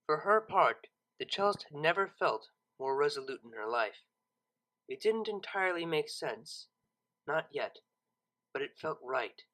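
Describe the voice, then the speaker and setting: An expressive storyteller reads scripted fiction. The voice is bright and sharp, moving through a lively range of pitch. Phrasing is dramatic and deliberate. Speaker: narrator, audiobook reader.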